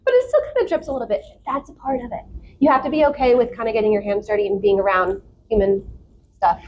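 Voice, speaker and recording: A woman talking as a podcast guest in a casual, candid and expressive way, prone to giggling. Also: on the high side, fast, highs cut off. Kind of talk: casual chat. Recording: clear background noise.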